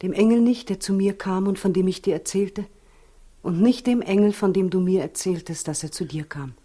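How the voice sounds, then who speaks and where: An actress in a 1960s German radio play as an earnest expectant young wife, soft and breathy, pleading and insistent. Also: female voice, mid-range voice, moderate pace, natural voice. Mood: hurt, pleading.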